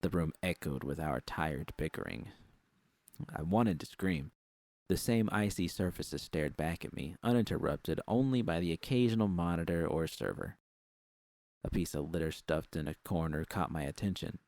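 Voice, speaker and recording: A man narrates first-person fiction in a very low register. His voice has a gravelly creak, and the sound is close and dry. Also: expressive, moderate pace, a little mellow, dry recording.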